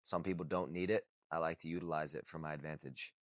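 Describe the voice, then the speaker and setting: A man around thirty with a deep, low voice talks straight to camera in a blunt, casual way. He swears freely and circles back on his points for emphasis. The sound is dry and close, with no room around it. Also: fairly even intonation, fast talker, no room sound. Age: 30s. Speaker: vlogger. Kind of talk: casual unscripted talk to camera.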